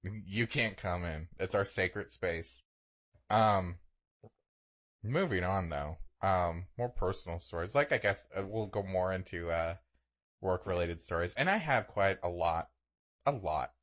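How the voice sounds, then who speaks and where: A man's voice: deep voice, measured pace, a bit bright. A young man co-hosting a podcast, telling stories in casual comedic chat with exaggeration and casual swearing. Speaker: podcast co-host.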